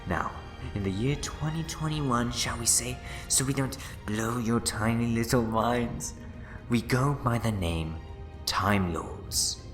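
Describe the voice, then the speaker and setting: A man playing a scheming, bitter renegade Time Lord in a sci-fi audio drama, speaking in theatrical, condescending, deliberate speeches. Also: medium pitch, echoey room, over music, close to an everyday voice. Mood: condescending, smug.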